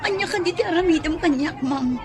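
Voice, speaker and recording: A woman's voice: very high voice, a little fast, crowd noise. An actress playing a frightened young captive woman in an Ilocano radio drama, pleading and tearful. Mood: scared.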